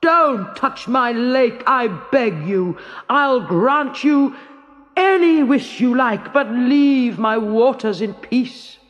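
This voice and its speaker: Storybook lake giant, acted by a narrator: fearsome but blustering, slow, theatrical exclamations. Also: mid-range voice, animated, fairly bright tone, long reverb tail, lo-fi sound, pitched above the performer's own voice. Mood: alarmed, pleading. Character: fearsome green-bearded lake giant, male, blustering and pleading. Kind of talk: children's storybook character reading.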